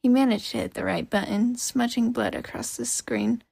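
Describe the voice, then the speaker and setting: A woman reading scripted fiction as an expressive audiobook-style narrator. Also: a little high, normal speed, creaky, slightly rough, no room sound, studio quality. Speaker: podfic narrator.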